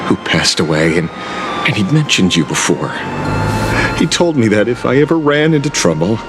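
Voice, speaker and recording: A man's voice: fairly low pitch, big pitch swings, normal speed. An actor playing a timid, troubled man with a hesitant, stammering delivery in a video game. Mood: anxious hope. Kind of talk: scripted game dialogue. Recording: game music behind the voice.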